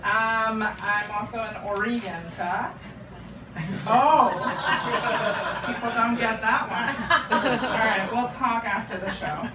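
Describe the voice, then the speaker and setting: A woman's voice: fairly high pitch, slow, pauses often, over a noisy crowd. A young woman from Japan, Japanese her first language, doing a chatty, self-deprecating stand-up set and bantering with a live club audience. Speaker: stand-up comedian. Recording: roomy, distant live club recording.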